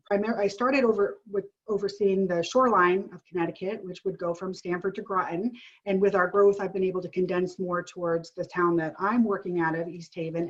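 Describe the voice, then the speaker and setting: A woman who works as an art therapist and clinical director, talking in a friendly, chatty way on a video call while getting over a sinus illness. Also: lowish voice. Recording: dull lo-fi call audio.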